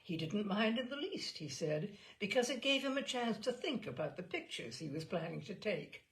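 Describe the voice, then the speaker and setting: A woman reading a literary story aloud dramatically, with expressive, deliberate phrasing. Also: mid-range voice, rough recording. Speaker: host and reader of short stories.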